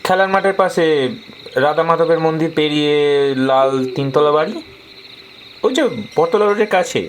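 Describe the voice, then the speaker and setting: A man's voice: a little high, normal speed, a little hiss or hum, close to an everyday voice. An actor playing a stranded city film director in an audio horror story, politely explaining where he needs to go. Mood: eager, polite.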